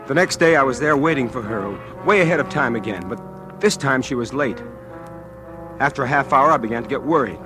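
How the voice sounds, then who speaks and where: A man's voice: medium pitch, expressive, brisk, music in the background, close to an everyday voice. An actor playing an anxious, lovestruck young American man in a 1940s radio suspense drama, earnest and prone to stammer. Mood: tense narration. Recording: old time radio show recording.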